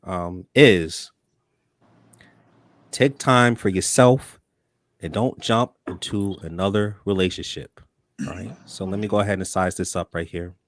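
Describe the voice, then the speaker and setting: A man with a very low voice hosts a live talk show in a loose, chatty way, dropping casual slang as he talks. The sound is dry and close, with no room around it. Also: measured pace, pauses often, dry recording. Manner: relaxed, conversational, slangy. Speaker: host.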